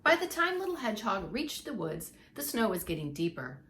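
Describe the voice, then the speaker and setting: A woman's voice: medium pitch, big pitch swings, a little fast, noisy background. A woman reading a story aloud like a preschool teacher, with dramatic emphasis and asides to young listeners. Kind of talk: expressive read-aloud storytelling for young children.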